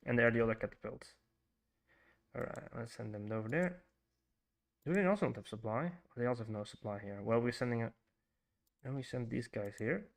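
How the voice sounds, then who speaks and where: A man's voice: mid-range voice, fairly quick, pauses often. Male streamer, Frisian Dutch accent, laid-back game commentary, chatty with viewers, lots of restarts. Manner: chatty, thinks aloud, greets chat, many fillers and restarts. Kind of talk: casual livestream commentary while playing.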